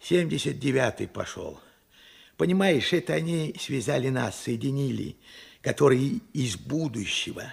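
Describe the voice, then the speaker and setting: Radio drama elder, late seventies: husky, heavy creak, reflective, with swooping intonation. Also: male voice, mid-range voice, lively intonation, normal speed, heavily acted. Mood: reflective, urgent. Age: 70s. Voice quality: gravelly, husky. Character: weary, frank 78-year-old Russian worker recalling his life. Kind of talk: Soviet radio drama acting.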